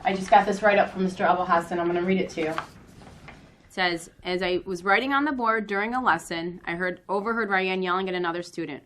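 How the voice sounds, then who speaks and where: A woman's voice: lowish voice, steady tone, fast talker, a bit bright, echoey room, a little hiss or hum, close to an everyday voice. A woman playing a firm school staff member who mediates a student dispute with pointed questions and instructions in an amateur dramatization. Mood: stern.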